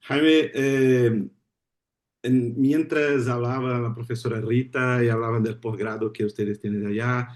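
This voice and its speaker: Brazilian man speaking halting Spanish. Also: mid-range voice, steady tone. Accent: Brazilian Portuguese. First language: Portuguese. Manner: friendly, halting non-native Spanish with repeated words. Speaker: guest speaker. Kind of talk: informal talk opening a lecture. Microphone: video call.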